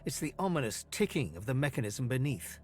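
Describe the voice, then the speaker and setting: A man performing as an ominous storyteller, narrating a dark fantasy game in a measured, theatrical way over music. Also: mid-range voice, sing-song, lightly acted. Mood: intrigued, ominous. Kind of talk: dramatic scripted second-person narration.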